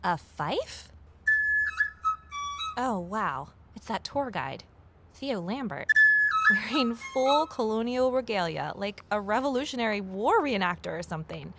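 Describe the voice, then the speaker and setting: A woman's voice: mid-range voice, big pitch swings, measured pace, over loud music. An actress playing a wry, curious office worker who narrates her own story in an audio drama. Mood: surprised. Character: wry, curious female former journalist, new office assistant. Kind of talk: audio drama, first-person narration and dialogue.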